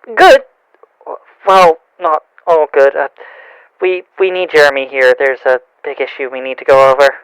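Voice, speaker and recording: An actor playing a nervous, flustered young coworker with a hesitant, stammering delivery in an audio drama. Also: male voice, a little high, moderate pace, fairly bright tone, clean tone, dry recording, natural voice, rough recording. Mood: uneasy.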